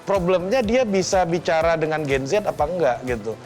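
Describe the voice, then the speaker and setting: A man's voice: on the high side, normal speed, a little mellow, soft background music. A man speaking as a guest on a studio panel in a casual, chatty way. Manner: casual, chatty, laughs between points. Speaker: talk show guest.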